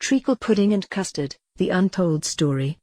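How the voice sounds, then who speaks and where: A synthetic female text-to-speech voice playing a calm, wry retro computer who thinks she's a cat, deadpan in an audio comedy drama. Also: fairly low pitch, moderate pace, no room sound, studio quality. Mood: dry, whimsical.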